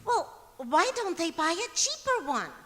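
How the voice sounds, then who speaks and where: Woman acting a high, swooping scatterbrained radio wife. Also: big pitch swings, a little slow, fairly bright tone, heavily acted. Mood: naive, puzzled. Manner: earnest, naive, confidently illogical. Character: scatterbrained, cheerfully illogical wife in a radio comedy. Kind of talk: old-time radio comedy acting on stage. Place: large auditorium stage, live performance. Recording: echoey auditorium stage recording.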